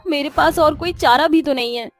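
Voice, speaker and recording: Theatrical high-voiced actress as a scheming daughter-in-law. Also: female voice, moderate pace, faint music behind. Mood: distressed. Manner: theatrical, emphatic. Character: scheming, cruel daughter-in-law, later a desperate, remorseful mother. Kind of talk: animated moral-story voice acting.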